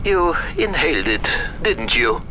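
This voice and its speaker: High-voiced pedantic scientist. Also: male voice, very animated, noisy background. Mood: resigned. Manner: pedantic, deliberate. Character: eccentric, erudite scientist, theorizing and exasperated. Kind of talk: video game voice acting. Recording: loud music behind the voice.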